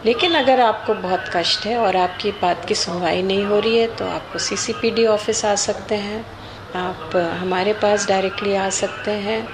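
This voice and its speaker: An Indian woman speaks as a government official in an interview. She explains in a measured, formal way and slips in English terms, recorded over noticeable ambient noise. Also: mid-range voice, fairly even intonation. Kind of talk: formal interview answers mixing Hindi and English. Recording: clear background noise, on-location ambience.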